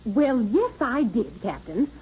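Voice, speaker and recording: An actress playing a garrulous, flattered, homely American shopkeeper who talks in flustered colloquial style in an old radio drama. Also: female voice, on the high side, takes it slowly. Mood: flattered, chatty. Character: chatty, folksy, flattered small-eatery proprietress. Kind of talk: 1930s radio acting. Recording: muffled, noisy vintage radio recording.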